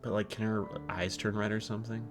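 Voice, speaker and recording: A man's voice: fairly low pitch, little pitch movement, brisk. A young man co-hosting a gaming video, making dry, joking remarks in casual commentary. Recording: loud music behind the voice.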